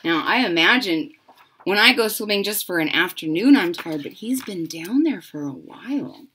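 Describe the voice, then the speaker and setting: A middle-aged woman reading a picture book aloud to children, dramatic and deliberate, with simple explanatory asides. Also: fairly low pitch, lively intonation, fairly bright tone, a little hiss or hum. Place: indoors, small home room.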